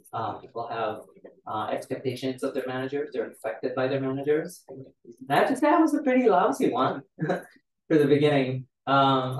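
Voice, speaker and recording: A man, telling his life story in a relaxed way on a podcast. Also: mid-range voice. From Israel. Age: 40s. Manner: relaxed, storytelling, many "uh" fillers. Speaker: guest. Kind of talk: casual podcast interview. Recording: roomy, distant mic with faint noise.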